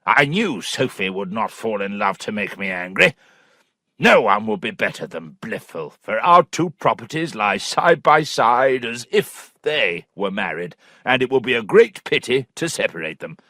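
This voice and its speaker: A man reading an audiobook, voicing a blustering, hot-tempered old country squire who speaks in loud, abrupt exclamations. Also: fairly low pitch, big pitch swings, moderate pace, no echo, studio quality, heavily acted. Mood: pleased. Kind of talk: audiobook character voice.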